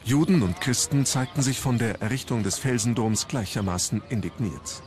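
A man's voice: medium pitch, moderate pace, a little mellow. A man reading scripted narration for a documentary. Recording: music under the narration. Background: background music.

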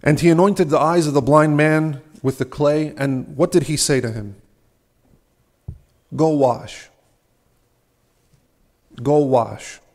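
A young man with a slightly high voice preaches from a lectern in a large, ornate church. His delivery is earnest and measured, with rhetorical questions along the way. He speaks into a lectern microphone. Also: darkish tone. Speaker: subdeacon preaching. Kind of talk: sermon.

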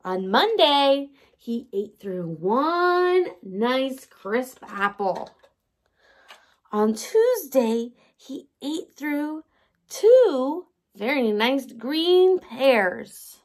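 High-pitched, lilting woman reading to children. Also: drawn-out words. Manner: animated, addressing young children, asking questions. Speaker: early learning teacher. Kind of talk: children's story time read-aloud. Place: indoors by a large window in a room at home.

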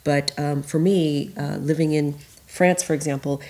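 A woman's voice: low voice, steady tone, moderate pace, warm tone. A woman from South Korea on a stage panel, speaking earnestly, often restarting her sentences and laughing. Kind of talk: panel discussion. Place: stage of a concert hall, in front of an audience.

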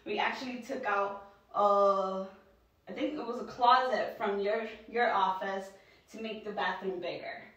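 A woman's voice: medium pitch, steady tone, a little slow, a bit bright, distant mic, lo-fi sound, slight background noise. A woman from New York giving a casual walking tour of her new house, chatting with lots of ums and likes. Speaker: vlogger giving a home tour. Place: indoors, sparsely furnished new house. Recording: echoey bare rooms, voice off-mic.

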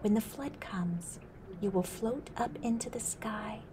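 A woman voicing a small male frog who sings a warning prophecy, in high wailing cries. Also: a little slow, background noise, natural voice. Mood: solemn.

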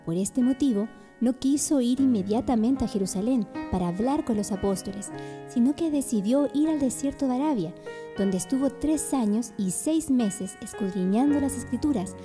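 A woman's voice: fairly high pitch, moderate pace, over music. A woman giving scripted narration for a radio drama.

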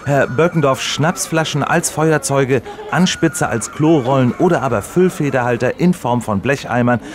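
An earnest radio reporter in a comedy sketch, played by a man. He has a middle-pitched voice and presents and questions with probing, slightly puzzled seriousness. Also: normal speed, background noise, close to an everyday voice. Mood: upbeat presenting. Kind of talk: mock radio feature and interview.

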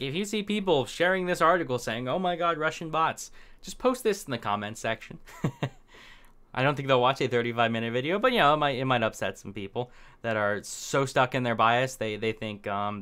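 Young male vlogger, off-the-cuff rambler, smooth clear tone, medium pitch, desk mic in small room. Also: fairly bright tone. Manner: rambling, talks on the fly with fillers and restarts. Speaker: political commentary vlogger. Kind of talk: unscripted casual commentary.

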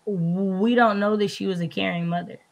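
A woman's voice: mid-range voice, steady tone, moderate pace, creaky, crowd noise. A woman in a casual book chat over a video call, informal, circling back and posing rhetorical questions. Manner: informal, repeats herself, asks rhetorical questions. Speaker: book discussion panelist. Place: indoor room, video call.

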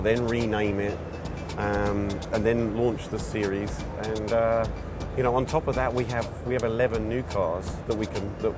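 A middle-aged man, a racing series founder, answering interview questions at a trade show in an animated, self-deprecating way. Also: medium pitch. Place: busy indoor trade show hall. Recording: music bed under voice.

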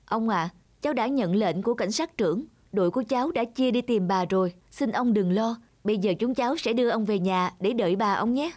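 Woman playing a high-voiced kindly young policeman. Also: fairly quick, few pauses, darkish tone, natural voice. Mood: reassuring. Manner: polite, reassuring, earnest. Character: kind, dutiful young male police officer in a cartoon. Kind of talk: cartoon dub acting.

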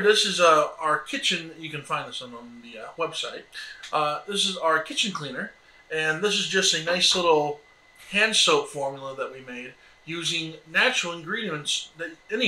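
Very sharp, bright American man, higher-pitched. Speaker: homesteading vlog co-host. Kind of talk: casual explanatory chat to camera. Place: indoors at a table in a small sunlit room by windows. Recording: echoey room.

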